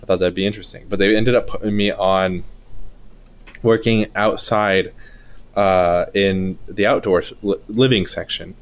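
A twenty-three-year-old man co-hosting a casual podcast, telling his story at a relaxed, steady pace with filler "uh"s. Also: sits low, normal speed, clean tone. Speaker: podcast co-host.

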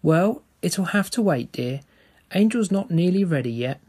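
A man's voice: sits high, expressive, unhurried, a little mellow, breathy edge, pitched above the performer's own voice, heavily acted. A man doing a placid, dismissive mother. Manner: soothing, dismissive, calls her daughter dear. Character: calm patient middle-aged mother, unbothered.

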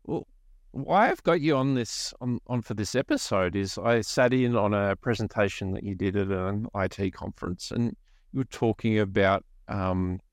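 A man's voice: lowish voice, normal speed, no room sound, studio quality. A man with an Australian accent hosting a podcast in a casual interview style. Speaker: podcast host.

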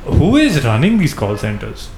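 A man's voice: mid-range voice, huge pitch swings, normal speed, darkish tone. A man co-hosting a casual podcast, asking questions and reacting with short, slangy, profane interjections. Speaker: podcast co-host. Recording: roomy echo, faint background noise.

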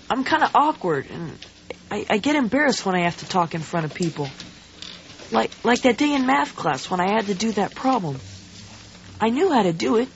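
A woman's voice: on the high side, slight background noise. A woman voicing an awkward, self-conscious schoolboy who stammers, in a radio drama. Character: nervous, awkward popular schoolboy. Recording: slightly dull radio drama recording.

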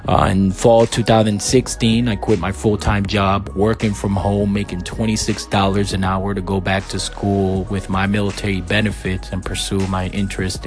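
A man in his thirties, from Puerto Rico, hosting a solo podcast and telling his life story in an earnest, measured way with frequent "uh" and "um" fillers. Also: deep voice. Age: 30s. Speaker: podcast host.

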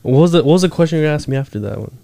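A man's voice: a little high, animated, very fast, vocal fry, mellow, slight background noise. A young man co-hosting a podcast in casual chat, joking loosely, laughing a lot and swearing freely. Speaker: podcast co-host.

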